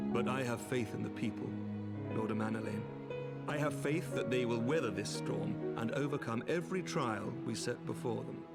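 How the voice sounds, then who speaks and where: An actor playing a noble, resolute knight commander in a video game, speaking formal, eloquent English. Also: male voice, medium pitch, gravelly, lightly acted. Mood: hopeful, firm. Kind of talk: scripted video game cutscene acting. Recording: music behind the voice.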